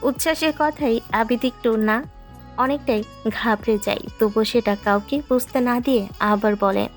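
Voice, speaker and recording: A woman's voice: on the high side, moderate pace. A woman reading a scripted romantic story as its narrator. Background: background music.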